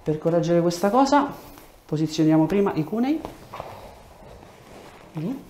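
Male chiropractor, 30s. Mellow tone, instructing a patient in a reverberant treatment room. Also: high-pitched, animated, measured pace. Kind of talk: hands-on clinical talk to a patient, giving instructions and explanations. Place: small treatment room, indoors. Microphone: lapel mic. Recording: echoey room with background noise.

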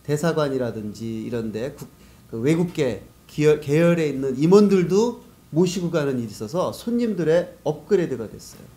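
A man's voice: a little high, animated, normal speed, dark tone. A man giving explanatory, conversational answers as a guest in a business interview. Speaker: guest, business network organizer.

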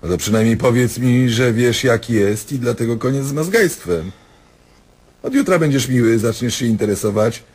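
An actor playing a diabolical, sarcastic doctor who taunts his patient theatrically in a husky voice, in a radio drama. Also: medium pitch, normal speed, darkish tone, natural voice. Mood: sneering, teasing.

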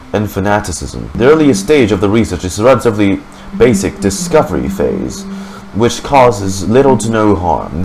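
A man's voice: on the low side, noisy background. A young man streaming a game, reading its text aloud and reacting casually into a close microphone. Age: young adult. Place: indoor room. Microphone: desk microphone on boom arm, close. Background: game music.

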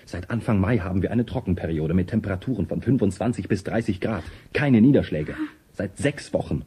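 An actor playing a bitter, obsessed, grieving man with a dark, husky voice in a radio play. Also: deep voice, lively intonation, brisk, heavily acted. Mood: bitter, brooding.

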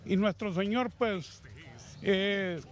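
A middle-aged man with a Honduran accent interviewed outdoors about losing his home, speaking slowly and haltingly with religious phrasing, into a handheld mic. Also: high voice, faint music behind. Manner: slow, halting, pious. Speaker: fire victim interviewed. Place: outdoors by a burned house. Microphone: handheld reporter mic.

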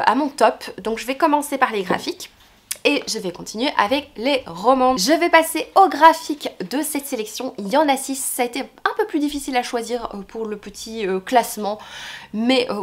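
A young woman chatting casually about her favorite books of the year in a home vlog, often pausing with filler sounds as she thinks. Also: medium pitch. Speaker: book vlogger. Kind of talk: casual book review vlog. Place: home room lined with bookshelves.

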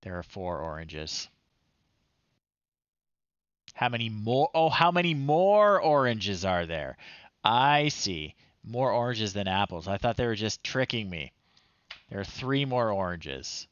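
Man, thirties, casual game commentary, playful, very animated pitch, slow pace, slightly dull recording. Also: no echo. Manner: amused, playful, muttered asides. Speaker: streamer, host of a retro game show. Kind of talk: casual live game commentary, reading screen text aloud. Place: small indoor room at home.

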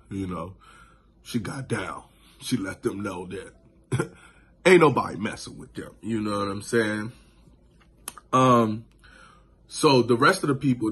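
A man in his thirties recapping a show on his vlog, laid-back and animated, from a small room indoors into a phone camera. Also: lowish voice, breathy edge. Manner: laid-back, animated, lots of "you know what I'm saying". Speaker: vlogger. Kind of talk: casual reaction vlog.

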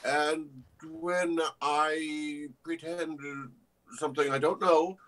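An older man, an actor giving reflective, articulate interview answers over a video call in measured phrases. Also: a little high, steady tone, fairly bright tone, low-quality recording. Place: indoor room with bookshelves.

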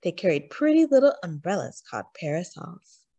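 Animated woman reading a children's storybook. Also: lowish voice, creaky, husky, no room sound. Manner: animated storytelling with drawn-out emphasis. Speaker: storytime reader. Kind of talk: expressive children's read-aloud narration.

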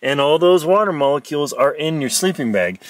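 A middle-aged man, an explorer and adventurer, giving a lively, playful how-to talk from inside a small tent, filmed on a phone at arm's length. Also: on the high side, brisk, recorded from a distance, slight background noise. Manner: lively, playful, mock-dramatic asides. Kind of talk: casual how-to vlog. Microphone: phone camera held at arm's length.

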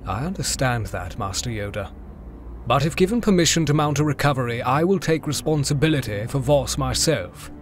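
A man playing a principled, diplomatic Jedi master in an audio drama, polite and measured. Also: medium pitch, animated, close to an everyday voice. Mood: resolute. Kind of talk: fan audio drama acting. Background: music.

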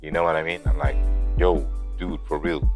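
A man's voice: rumbling low, steady tone, normal speed, faint music behind. A young man in his twenties talking to camera in a loose, rambling vlog, full of "you know" and "like", recorded on a lapel mic indoors in a house. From grew up in Lebanon. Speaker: vlogger.

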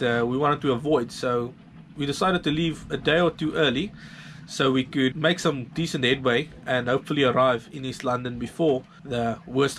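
A man's voice: mid-range voice, a little hiss or hum. A South African man, around his thirties, narrating a sailing vlog in an informal, laid-back way. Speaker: sailing vlogger.

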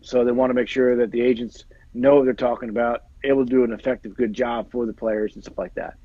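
A man in his forties talks fast and at a middle pitch, his voice a bit husky. He rambles and repeats himself in a casual chat. The call audio is dry and dull, like lo-fi earbuds in a small room. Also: fairly even intonation, no echo. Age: 40s. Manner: rambling, repeats phrases, many fillers. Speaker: football agent and guest. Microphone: earbuds on a video call.

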